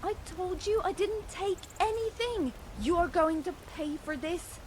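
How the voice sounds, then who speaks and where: High-pitched menacing thief. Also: female voice, measured pace, a little hiss or hum. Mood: defiant, threatening. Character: threatening young female Nord thief follower. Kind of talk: video game voice acting.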